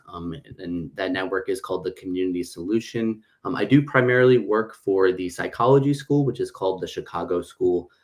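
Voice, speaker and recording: A man working as a university recruiter, introducing himself in a webinar with frequent fillers. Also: lowish voice. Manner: friendly, filler-heavy. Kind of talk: webinar presentation.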